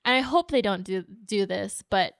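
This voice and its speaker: A woman's voice: medium pitch, normal speed, gravelly, no room sound. A woman podcaster with a mid-range voice talks in a loose, conversational way full of "like". The sound is very dry, with no room around it. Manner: conversational, peppers speech with "like". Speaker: podcast host. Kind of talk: casual chat.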